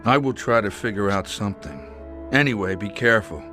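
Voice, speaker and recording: A man playing a calculating older crime boss in a video game, measured and dryly sardonic. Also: fairly low pitch, expressive, natural voice. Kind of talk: dramatic video game acting. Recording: faint music behind the voice.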